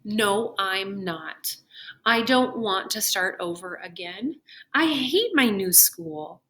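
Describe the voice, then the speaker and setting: A woman's voice: mid-range voice, animated, slow, bright, forceful tone. A woman reading a storybook as a reluctant, anxious female character who moans and protests. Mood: stubborn. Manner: moaning, protesting. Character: reluctant, anxious, whiny Sarah refusing to get up.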